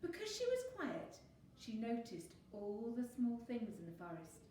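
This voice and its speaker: A woman voicing a quiet little female fox in a storybook, observant and urgent, often hushing and whispering. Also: fairly high pitch, wildly swooping pitch, a little slow, very echoey, far from the mic, a little hiss or hum, low-quality recording. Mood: gentle storytelling.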